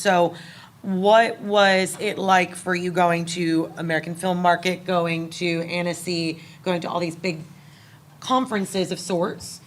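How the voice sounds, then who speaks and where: Lady moderator at a con panel, lowish voice, chatty questions, some background noise. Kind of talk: conversational moderating, posing questions. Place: live convention panel. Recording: noticeable ambient event noise.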